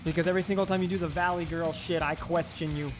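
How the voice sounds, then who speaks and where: A man's voice: a little high, steady tone, quick pace. A man streaming casual chat, given to teasing, sweary banter. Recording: music playing behind the voice. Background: plucked guitar music.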